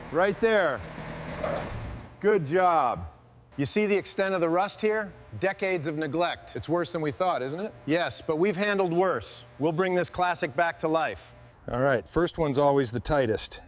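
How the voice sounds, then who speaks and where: A man's voice: fairly high pitch, a little hiss or hum. A man giving hands-on workshop commentary as a vehicle restorer, in short instructional remarks to helpers.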